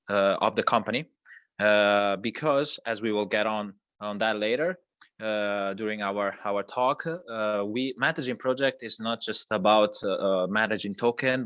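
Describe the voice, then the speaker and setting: An Italian-native man talks through his work at a moderate pitch, stopping and restarting his sentences often. The audio is dull and lo-fi, with no room sound at all. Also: fairly bright tone, dry recording. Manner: hesitant, many fillers and restarts. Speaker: crypto project co-founder guest. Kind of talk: online video interview.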